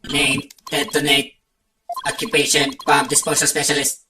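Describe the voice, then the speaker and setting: A woman's voice: a bass voice, little pitch movement, moderate pace, echoey room, distant mic, background noise. A woman voicing a terse male bomb expert with a robotic, clipped, unemotional delivery in a game fandub. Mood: flat, matter-of-fact. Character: terse male bomb expert talking through speech synthesizer. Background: prominent game music.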